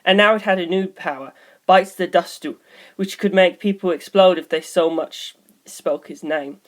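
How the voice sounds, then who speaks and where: A woman's voice: fairly low pitch, moderate pace. A woman reading scripted story narration as an audiobook narrator.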